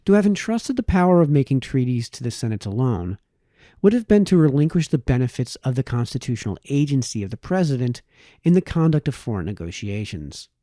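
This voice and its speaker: A man's voice: medium pitch, big pitch swings, brisk, dark tone, dry recording, clean studio sound. A man reading a formal essay aloud in the style of an audiobook narrator. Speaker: audiobook reader.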